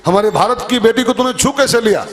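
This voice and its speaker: A man voicing a brave, outraged vulture challenging a demon king in a loud, indignant declamation during a religious story. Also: very high voice, expressive, fairly quick, pitched above the performer's own voice. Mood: outraged, defiant.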